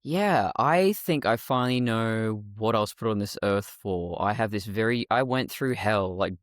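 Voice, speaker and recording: A woman with a deeper voice, a guest talking bluntly and sardonically, swearing freely. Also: animated, normal speed, no echo, studio quality. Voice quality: deeper voice after testosterone. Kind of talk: candid interview talk.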